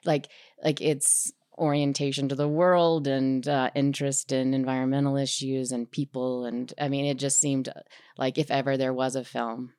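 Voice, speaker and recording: A woman speaking casually as an interview guest, with halting, reflective phrasing. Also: very deep voice, level tone, darkish tone, clean tone, no echo, studio quality. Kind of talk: casual conversation.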